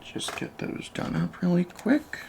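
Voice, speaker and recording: A soft-spoken repair technician in an ASMR roleplay, talking slowly with a creaky, gravelly edge. The murmured, hesitant step-by-step narration swings up and down in pitch. A steady fan hum and small clicks sit clearly behind the voice. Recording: constant fan noise, clicks and chair creaks. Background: computer fan hum, clicking and typing.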